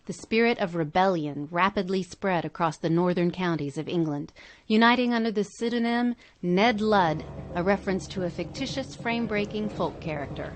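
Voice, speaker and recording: A woman reading scripted documentary narration for a podcast in a measured way. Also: fairly low pitch.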